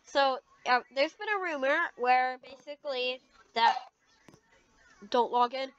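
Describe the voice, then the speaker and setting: High-pitched young-sounding gamer, muffled noisy mic. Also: measured pace. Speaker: gamer narrating roleplay. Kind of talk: casual improvised video game roleplay. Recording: dull lo-fi mic with clear background noise.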